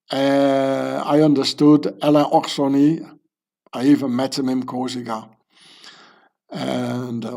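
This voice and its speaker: A man's voice: medium pitch, darkish tone. A man from South Africa who grew up speaking French at home, giving a rambling commentary to his video channel and asking rhetorical questions. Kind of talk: casual commentary monologue.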